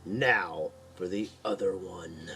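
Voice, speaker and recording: A man's voice: low voice, takes it slowly, bright, forceful tone, a little hiss or hum, pitched below the performer's own voice, exaggerated voice. A man voicing a tough male demon hunter who is curt and commanding, in an audio horror story. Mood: menacing.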